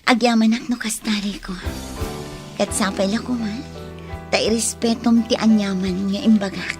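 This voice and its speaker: A woman's voice: mid-range voice, steady tone, moderate pace, over music, close to an everyday voice. A woman playing a tender but firm woman in love in an Ilocano radio drama, speaking earnestly and sentimentally.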